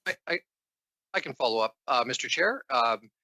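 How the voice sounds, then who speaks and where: A man's voice: mid-range voice, expressive, moderate pace, sharp tone. A man, a government official, giving formal committee testimony in a careful, deferential, bureaucratic way with hesitant, repetitive phrasing. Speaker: assistant deputy minister, government official.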